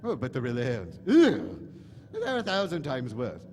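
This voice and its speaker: An actor playing an eccentric alien mad professor, theatrical, gushing and disdainful, callous toward humans, in a live radio play. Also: male voice, mid-range voice, wildly swooping pitch, unhurried, darkish tone. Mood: haughty, exasperated. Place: large hall stage at a convention. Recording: echoey hall stage recording, faint noise.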